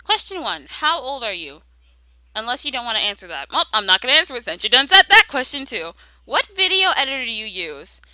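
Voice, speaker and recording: Bright, animated young woman, high-pitched voice. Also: a little fast, no room sound, rough recording. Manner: playful, sassy, conversational storytelling. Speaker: YouTube animation creator answering viewer questions. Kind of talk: casual humorous Q and A voice-over, reading questions aloud.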